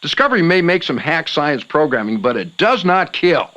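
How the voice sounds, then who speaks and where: Man playing an excitable, high-pitched radio host. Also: moderate pace, lo-fi sound. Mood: skeptical. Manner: theatrical, indignant, grandiose. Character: excitable, gullible male late-night paranormal radio talk show host. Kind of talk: comedic audio drama acting as radio talk show.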